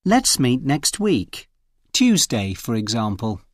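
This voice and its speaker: A man reading short example sentences clearly for a language course. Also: medium pitch, dry recording. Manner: slow, clear, expressive reading. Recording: dry studio recording.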